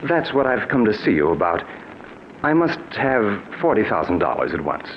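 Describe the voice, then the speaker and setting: An actor playing a smooth, calculating male blackmailer in a 1940s radio drama, suave and falsely solicitous with menace underneath. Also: lowish voice, big pitch swings, moderate pace. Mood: wheedling pressure. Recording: muffled, noisy vintage radio recording.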